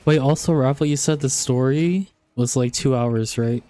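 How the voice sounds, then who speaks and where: A man's voice: mid-range voice, normal speed, dark tone. A young man streaming a video game, chatting casually in an informal, slangy way into a close microphone. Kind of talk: casual chat while gaming.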